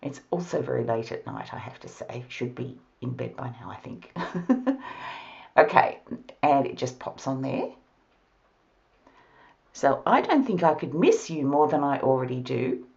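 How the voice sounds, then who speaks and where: A woman with an Australian accent, hoarse from a cold, explaining a card-making project step by step with a chatty, laughing manner. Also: rumbling low, very animated, unhurried, pauses often, darkish tone, highs cut off. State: hoarse, losing her voice. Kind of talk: crafting tutorial narration. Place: home craft studio, at a table.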